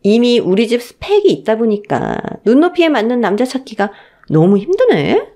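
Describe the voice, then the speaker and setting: A woman's voice: a little high, wildly swooping pitch, warm tone. Woman as envious sister-in-law, passive-aggressive, falsely genteel, swooping intonation, radio drama. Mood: sarcastic envy. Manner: sarcastic, passive-aggressive, drawn-out. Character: snide, envious older sister-in-law feigning refinement.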